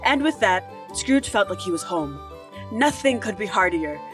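Animated woman as spirited storybook narrator. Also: mid-range voice, moderate pace, music in the background, natural voice. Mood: spirited, delighted. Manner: expressive, theatrical.

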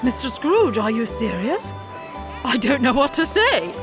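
A woman playing an earnest, kindly Victorian gentleman in a theatrical audio drama style. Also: high voice, big pitch swings, measured pace, music in the background. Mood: astonished.

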